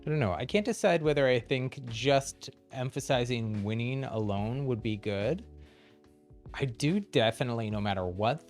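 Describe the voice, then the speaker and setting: A man hosting a live video game stream, chatting casually as he plays. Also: mid-range voice. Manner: chuckles often while talking. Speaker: livestream host playing a video game.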